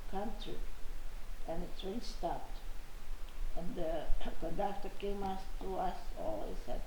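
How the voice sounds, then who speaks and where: Older woman, gravelly voice. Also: mid-range voice, steady tone. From Ukraine. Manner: halting, plain retelling with restarts. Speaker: interviewee. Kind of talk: personal life story in an interview. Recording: echoey room with hiss.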